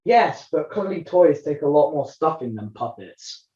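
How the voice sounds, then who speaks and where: A woman voices an opinionated puppet follower in a comedy. The delivery is slow and varied in pitch, with dull, echoey call sound. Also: big pitch swings, dark tone. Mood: insistent. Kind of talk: scripted puppet comedy dialogue. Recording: roomy, slightly dull online-call sound.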